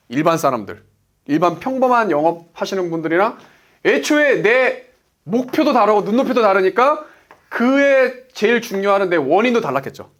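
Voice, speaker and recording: Animated high-voiced man lecturing. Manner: emphatic, asks rhetorical questions and answers them. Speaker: sales business coach. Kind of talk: motivational lecture.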